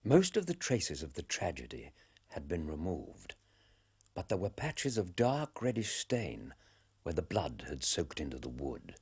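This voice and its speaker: An actor playing a loyal, reflective British gentleman companion who narrates a radio drama in a measured, wistful manner. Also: deep voice, huge pitch swings, breathy edge, dry recording, exaggerated voice. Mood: grave narration.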